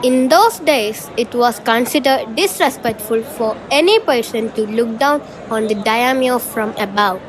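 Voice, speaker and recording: A woman's voice: fairly high pitch, a little slow, hardly any pauses. A woman reading scripted storybook narration for a shadow puppet show. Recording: noisy amateur recording.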